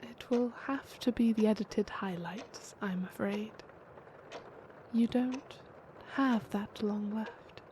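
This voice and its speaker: An actress playing a calm, kindly, mysterious stranger who brings death, speaking softly and gently in an audio drama. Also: female voice, medium pitch, slight background noise, natural voice. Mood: softly regretful. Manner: soft, measured, gentle. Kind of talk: audio drama character acting.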